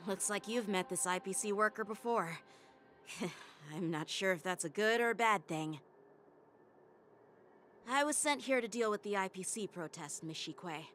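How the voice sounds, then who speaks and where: A woman's voice: mid-range voice, a bit bright, faint music behind, lightly acted. Woman as a tactful, composed young envoy. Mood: polite, curious. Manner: polite, measured, conciliatory. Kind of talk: video game voice acting.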